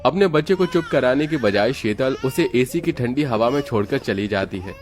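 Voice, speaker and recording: A man narrating an animated moral tale for children in a scripted storytelling style. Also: medium pitch, moderate pace.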